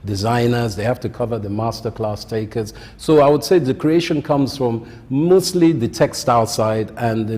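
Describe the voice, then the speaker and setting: A man with a Nigerian accent, a content creator and fashion designer answering interview questions in a measured way, with many ums. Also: lowish voice, dark tone, slightly rough, in a large hall.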